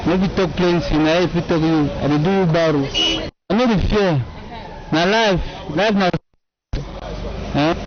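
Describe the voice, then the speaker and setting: Man from Cameroon in halting Pidgin. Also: on the high side, a crowd in the background. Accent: Nigerian Pidgin. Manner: halting, plain short phrases. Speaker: wheelbarrow pusher. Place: outdoor market. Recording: distant lo-fi market sound.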